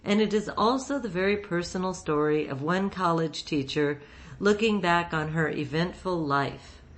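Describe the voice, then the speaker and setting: A woman radio host reading a scripted introduction in a clear, deliberate presenting manner. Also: fairly low pitch.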